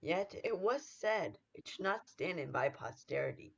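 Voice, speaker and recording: A man's voice: high-pitched, expressive, measured pace, creaky, a bit bright, heavily acted. A young man acting a wary, suspicious nobleman in a Shakespeare radio play, with a muffled sound. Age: young adult. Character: wary, suspicious Scottish nobleman, loyal in manner. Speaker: student actor.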